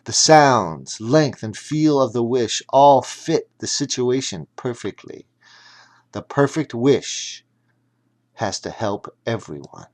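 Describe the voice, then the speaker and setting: Slow, dramatic male storyteller. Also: mid-range voice, expressive, a little mellow. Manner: deliberate, emphatic, broken phrasing. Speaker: audiobook narrator.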